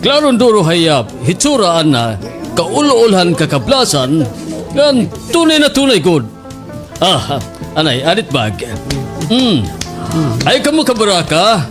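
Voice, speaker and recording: A man's voice: sits high, sing-song, slow, full character voice. A man playing a self-important visiting director in a slapstick radio drama, full of showy exclamations, with music behind him. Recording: music under the voice.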